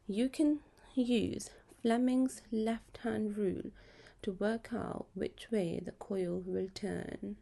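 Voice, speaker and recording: Female educational narrator, explanatory and measured, mellow timbre with noticeable vocal fry. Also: mid-range voice, steady tone. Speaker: physics tutor presenting. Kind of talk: scripted narration of an exam lesson.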